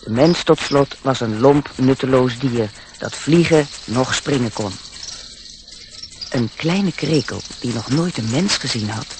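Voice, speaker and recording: A man's voice: medium pitch, measured pace, noisy background. A man narrating a radio play in a scripted storybook style.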